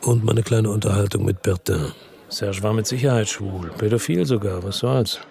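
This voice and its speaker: An actor playing a world-weary, bitter crime investigator who narrates and talks tersely in a radio drama, with a gravelly, husky, deadpan voice. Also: male voice, on the low side, moderate pace. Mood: dry, reflective. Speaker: first-person narrator and lead character in a radio drama.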